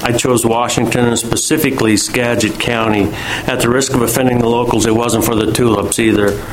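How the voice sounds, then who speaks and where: A man reads a prepared comment at a public meeting in a brisk, composed way, with a touch of dry humor. His voice sits in a middle pitch, and faint room noise is heard behind him. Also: fairly even intonation, low-quality recording.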